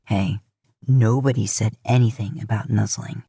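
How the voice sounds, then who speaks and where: A woman voicing a gruff, terse man, a protective brother in a fan fiction audiobook. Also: a bass voice, steady tone, a little slow, warm tone, no room sound, studio quality, pitched below the performer's own voice, full character voice. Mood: teasing.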